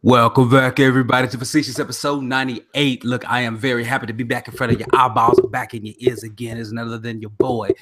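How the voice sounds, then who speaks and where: Slangy animated baritone man host. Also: fast, few pauses. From Oklahoma. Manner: slangy, animated, laughs often. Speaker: podcast host. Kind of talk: casual podcast chat.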